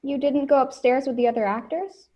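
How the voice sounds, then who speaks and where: A woman's voice: high-pitched, brisk, dull audio, close to an everyday voice. An actress speaking her part in a theatrical audio drama. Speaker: actor in an audio play.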